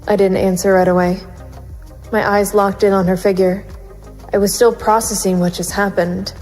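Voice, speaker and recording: A woman's voice: mid-range voice, little pitch movement, normal speed, darkish tone, faint music behind, close to an everyday voice, low-quality recording. A woman playing a brooding, conflicted private investigator narrating a noir audio drama. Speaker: narrator and lead actor.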